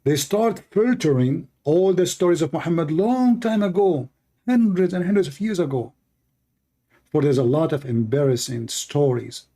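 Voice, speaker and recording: Emphatic man, native Arabic speaker, mellow voice. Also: on the high side, moderate pace. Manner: emphatic, repetitive, non-native phrasing. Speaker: religious debate host. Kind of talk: polemical commentary.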